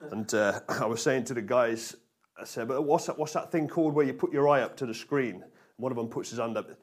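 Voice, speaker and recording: A man's voice: medium pitch, a little fast. Man preaching, informal and jokey. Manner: colloquial and jokey, with rhetorical questions and tag questions. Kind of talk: informal sermon to a congregation.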